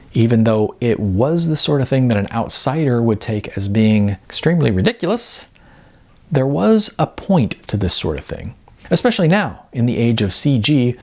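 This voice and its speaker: A man's voice: medium pitch, darkish tone. Guy narrating a comedic audiobook, wry and sardonic, voice swings up and down a lot. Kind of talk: expressive comic fiction narration.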